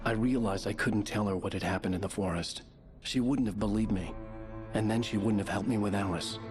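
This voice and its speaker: A man acts out a troubled novelist in a cinematic game. His voice sits on the low side, intense and earnest, like someone weighed down by dread. A clear music score plays behind him. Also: a little fast, natural voice. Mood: brooding. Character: troubled male novelist searching desperately for his missing wife. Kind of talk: cinematic video game acting, brooding first-person narration. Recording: voice under a loud music score.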